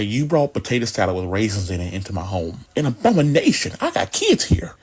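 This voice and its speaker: Indignant American man, comedic scolding monologue, husky voice with very lively pitch. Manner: indignant, emphatic.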